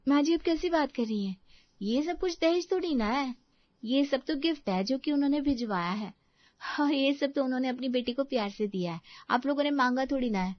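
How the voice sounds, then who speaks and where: A woman's voice: high-pitched, animated, a little fast, no echo, lo-fi sound. A woman playing a proud, sweet-talking young married daughter in a Hindi moral story cartoon. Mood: sweetly polite.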